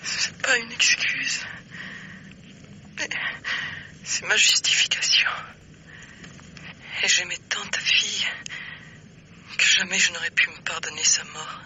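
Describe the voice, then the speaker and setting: An actress playing an anguished, lovelorn woman bidding farewell in a 1950s French radio drama, breathy and halting, on a noisy old recording. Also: fairly low pitch, sharp tone, full character voice. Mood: sorrowful, tender.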